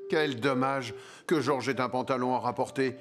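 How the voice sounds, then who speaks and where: A man narrating a children's cartoon as a wry, playful storyteller with expressive delivery. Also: mid-range voice, moderate pace, music in the background, lightly acted.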